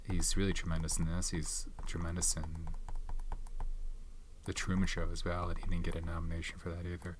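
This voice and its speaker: Soft spoken guy with a real low voice, kinda rambly, faint hiss behind. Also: monotone. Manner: rambling, pauses to recall names. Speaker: ASMR host reviewing movies. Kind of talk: soft-spoken ASMR chat.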